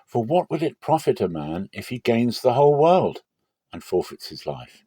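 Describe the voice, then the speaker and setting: A man voicing a solemn, authoritative male teacher and prophet in a scripture reading, grave, emphatic and deliberate. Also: mid-range voice, very animated, warm tone, husky, no echo, pitched above the performer's own voice. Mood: solemn, urgent.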